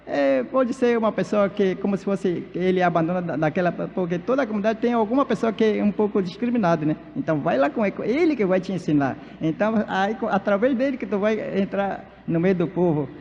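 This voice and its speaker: Man, Baniwa native speaker, halting preaching, high gravelly voice, muffled echoing church hall. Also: lively intonation, a little mellow, slight background noise. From Amazonas, Brazil. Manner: halting speech with many fillers and restarts. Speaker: pastor and missionary. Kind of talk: sermon at a church leaders' conference. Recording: dull, reverberant church PA recording.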